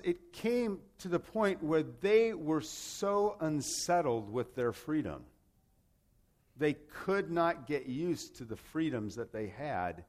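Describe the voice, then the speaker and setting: A man from Rome, Italy, preaching a sermon in an emphatic, conversational way, with rhetorical questions and repeated phrases. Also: fairly high pitch. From grew up in Rome, Italy, to American parents. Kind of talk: sermon with scripture and personal anecdotes.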